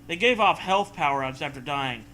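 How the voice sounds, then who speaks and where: A man's voice: on the high side, lively intonation, moderate pace, bright, forceful tone, a little hiss or hum, rough recording. A man co-hosting a comedic reading of a story, hammy and dramatic, breaking off for casual joking asides. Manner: hammy, breaks off to riff and joke. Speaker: co-host reading a story aloud.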